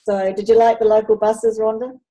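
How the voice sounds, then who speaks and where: A woman's voice: a little high, level tone, brisk, dark tone. A woman from New Zealand with a New Zealand accent, hosting an interview while showing slides and chatting with frequent ums. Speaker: host presenting a netball travel program.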